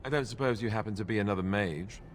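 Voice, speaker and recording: An actor playing a wry young warrior recruit in a fantasy video game, with a glib, sarcastic way of talking. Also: male voice, on the low side, fast talker, soft background music, lightly acted. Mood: sheepish, friendly.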